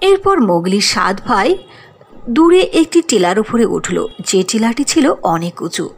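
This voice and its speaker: A woman narrating a cartoon fairy tale with expressive storybook delivery. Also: mid-range voice, normal speed, husky.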